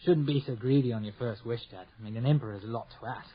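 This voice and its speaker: Actor as a husky, cheeky working-class son. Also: male voice, mid-range voice, fast talker, close to an everyday voice. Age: young adult. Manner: joking, teasing. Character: cheeky, sceptical grown son, working-class night-shift worker. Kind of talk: old-fashioned radio drama acting. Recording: dull lo-fi radio drama recording.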